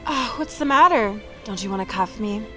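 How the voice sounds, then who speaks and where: A woman's voice: medium pitch, expressive, normal speed, over music, close to an everyday voice. A woman playing a flirtatious, defiant, sly cat burglar, teasing and taunting in a scripted table read. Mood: seductive.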